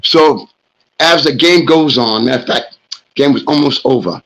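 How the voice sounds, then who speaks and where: A man's voice: mid-range voice, normal speed, fairly bright tone, muffled recording. A man hosting a sports talk show, telling a story in a loose, rambling, casual way. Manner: loose, rambling anecdote with fillers.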